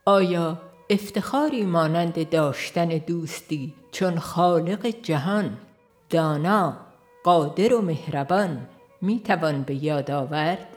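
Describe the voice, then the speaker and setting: A woman reading a devotional book aloud in a slow, earnest, reflective way. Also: on the low side, a little mellow. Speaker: audiobook reader. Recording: faint instrumental music behind.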